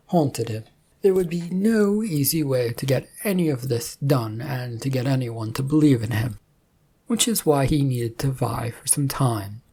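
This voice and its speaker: Very deep, husky woman narrator. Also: warm tone. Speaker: narrator and storyteller. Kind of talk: scripted story narration.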